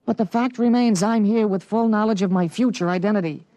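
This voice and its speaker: A man's voice: high-pitched, a little fast, warm tone, dry recording, close to an everyday voice. An actor playing a precocious thirteen-year-old boy with an adult's memories, matter-of-fact and articulate, in 1950s radio drama style. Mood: earnest, insistent. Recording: vintage radio broadcast.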